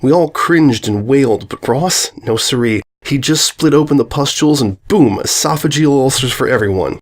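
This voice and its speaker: A man's voice: medium pitch, animated, moderate pace, natural voice. Man acting an absurdly grandiose funeral eulogist. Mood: grandly mournful. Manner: oratorical, rhetorical questions and grandiose nonsense. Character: male cousin giving an absurd, florid funeral eulogy.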